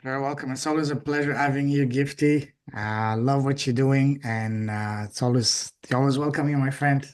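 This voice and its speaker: A man's voice: medium pitch, fairly even intonation, moderate pace, few pauses, a little mellow. A man hosting a group call, effusive in greeting and praising guests. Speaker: host of the call.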